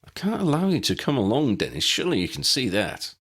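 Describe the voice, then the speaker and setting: A man's voice: mid-range voice, wildly swooping pitch, fast talker, a bit bright, husky, pitched above the performer's own voice, heavily acted. A man voicing a guarded, protective male wizard officer in an audiobook reading, speaking in a firm, clipped way. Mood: stern.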